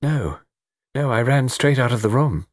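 A man voicing an anguished, refined upper-class lady in a storybook mystery, speaking haltingly. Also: mid-range voice, lively intonation, no room sound, studio quality, voice pushed up. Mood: shaken. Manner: halting, breaking off mid-phrase.

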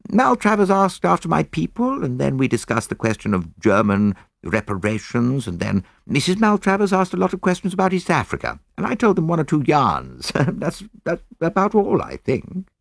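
An eager young army captain in an audiobook mystery, played by a man. His British voice sits high and leaps about in pitch. He chatters in brisk, clipped, upper-class phrases with a slightly uneasy air. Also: huge pitch swings, creaky, no room sound, clean studio sound, exaggerated voice. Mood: breezy, chatty.

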